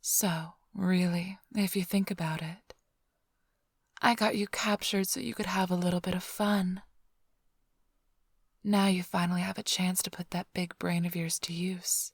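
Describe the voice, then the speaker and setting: A woman playing a flirtatious, smug immortal vampire thief in an ASMR roleplay, teasing the listener in a breathy, half-whispered, languid drawl. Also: lowish voice, fairly even intonation, unhurried, dry recording, studio quality. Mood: playful, flirtatious. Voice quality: breathy, often sinking into whisper.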